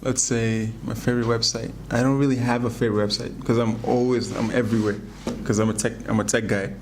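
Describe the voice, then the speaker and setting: A male English teacher, a native Pular speaker, answers a class's questions at an easy, thinking-aloud pace. Clear background noise runs under his medium-pitched voice. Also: quick pace. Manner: relaxed, thinks aloud with fillers.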